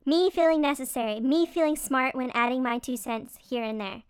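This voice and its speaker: A man's voice: extremely high pitch, normal speed, clean tone, dry recording, clean studio sound, voice pushed up. A man voicing a chatty, teasing, motherly female beaver in a storybook, with an emphatic, playful manner. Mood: teasing, frank.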